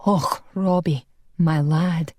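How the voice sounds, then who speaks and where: A woman voicing a gruff, protective Scottish male vampire warrior with a Scottish accent. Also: deep voice, steady tone, takes it slowly, darkish tone, no echo, clean studio sound. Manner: gruff, fatherly.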